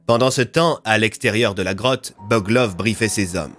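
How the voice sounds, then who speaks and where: Low-voiced male narrator over music. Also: moderate pace, fairly bright tone. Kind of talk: scripted dramatic story narration.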